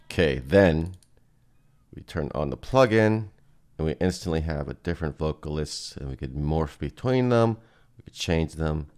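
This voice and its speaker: A man giving a casual software walkthrough as presenter, thinking aloud in an informal way. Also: very deep voice, lively intonation, normal speed. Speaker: presenter demonstrating music software.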